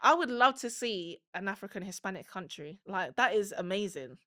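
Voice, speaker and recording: A London woman in her twenties who hosts a podcast, chatting casually in an animated, slangy way. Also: medium pitch, no room sound, clean studio sound. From South London, England. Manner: animated, slangy, quick to laugh. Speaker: podcast host. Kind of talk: casual chat.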